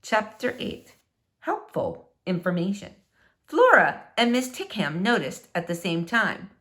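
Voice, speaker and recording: A woman narrates a children's storybook with hugely varied pitch that rises and falls across each phrase. Her phrasing is dramatic and deliberate, giving key words clear emphasis. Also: huge pitch swings, a bit bright. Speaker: storybook reader. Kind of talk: expressive children's book read-aloud.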